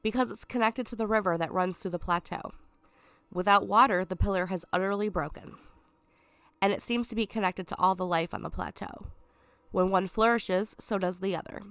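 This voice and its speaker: A woman's voice: medium pitch, moderate pace, fairly bright tone, clear, resonant tone, dry recording, close to an everyday voice. A woman reading a scheming, condescending lion villain. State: stuffed up from a cold. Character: scheming, sarcastic male lion villain, self-proclaimed king.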